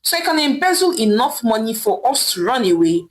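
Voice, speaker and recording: A woman's voice: on the high side, very animated, a little fast, a little hiss or hum. A woman voicing a passionate young man with a Nigerian accent, earnestly persuading his lover. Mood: passionate, pleading. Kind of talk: storytelling character voice.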